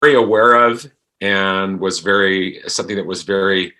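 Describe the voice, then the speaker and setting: A man speaking as an interview guest, reflective and halting as he searches for his words. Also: low-pitched, fairly even intonation, pushed and bright. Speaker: podcast guest, actor.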